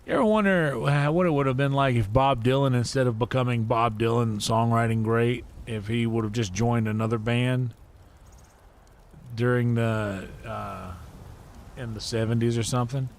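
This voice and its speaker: A man's voice: medium pitch, steady tone, moderate pace. A man hosting a game livestream, chatting casually and rambling as he thinks aloud and mutters to himself. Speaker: livestream host and gamer. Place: indoor livestream setup.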